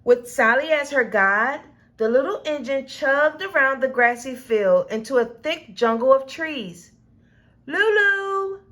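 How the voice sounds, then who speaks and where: A woman reading a children's storybook aloud in an expressive, deliberate storytelling manner. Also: high voice. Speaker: storybook reader. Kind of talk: children's read-aloud narration.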